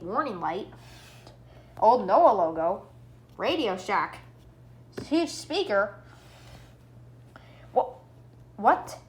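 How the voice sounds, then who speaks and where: A female-sounding vlogger giving a casual product review in an excitable way, repeating phrases for emphasis. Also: mid-range voice. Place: indoor room at home. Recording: echoey room, background hiss.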